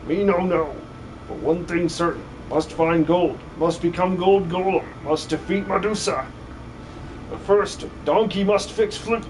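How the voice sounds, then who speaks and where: A man's voice: high voice, unhurried, slight background noise, low-quality recording. A man voicing a simple-minded stone golem in a video game dub, speaking in blunt, broken caveman-like phrases. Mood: blunt, earnest.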